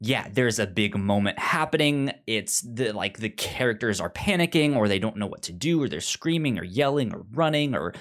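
Halting podcast co-host, mid-pitched voice. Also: animated, a bit bright. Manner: halting, repeats words while forming thoughts. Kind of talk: casual review discussion.

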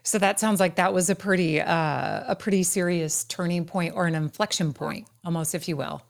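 A woman hosting an interview show, reading a scripted introduction and asking questions with a measured, emphatic delivery. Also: lowish voice, steady tone, gravelly. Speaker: podcast host.

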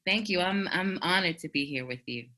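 A woman's voice: fairly low pitch, expressive, fairly quick, pushed and bright. A woman from the United States giving reflective interview answers as a guest over an internet call. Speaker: guest, activist blogger.

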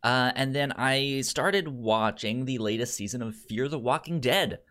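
Casual podcast co-host with a mid-pitched voice, rambling and conversational, repeats words. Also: measured pace, a bit bright. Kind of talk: casual chat.

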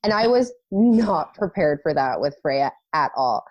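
Woman co-host with a cold, nasal and stuffed up, dry close mic, lively chat. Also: sits low, unhurried, no echo, lo-fi sound. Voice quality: a little nasal. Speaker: podcast co-host. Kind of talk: casual podcast chat.

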